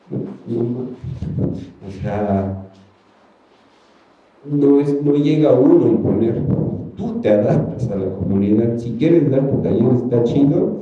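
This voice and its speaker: A man with a Mexican Spanish accent whose first language is Ayuuk, speaking as an artist on a panel in a loose, colloquial, rambling way with many fillers. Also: medium pitch. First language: Ayuuk (Mixe). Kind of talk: casual panel talk. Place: museum talk hall. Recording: roomy, distant, dull sound.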